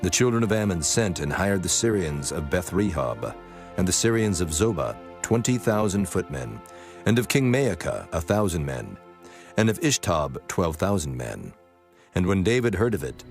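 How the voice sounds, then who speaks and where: A man narrating scripture from a script in a solemn, measured manner. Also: low voice. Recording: music bed under the voice.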